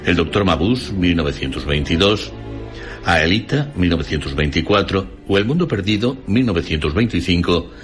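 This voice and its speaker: A man with a Castilian Spanish accent reading a scripted literary radio essay in a formal, measured way. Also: deep voice, animated. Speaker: radio documentary narrator. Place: radio studio. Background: faint music.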